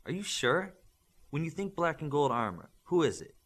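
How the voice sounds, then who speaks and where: A man voicing a stern, principled instructor who lectures young superhero trainees in measured, emphatic audiobook dialogue. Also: on the high side, sing-song, fairly bright tone. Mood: instructive. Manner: emphatic, didactic, measured lecturing with rhetorical questions.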